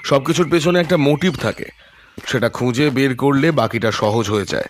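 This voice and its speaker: Audio drama sleuth, played by a man: shrewd, composed, musing, medium pitch, light background noise with birdsong. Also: lightly acted. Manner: measured, musing, quietly questioning. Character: calm, shrewd male detective, probing and thoughtful.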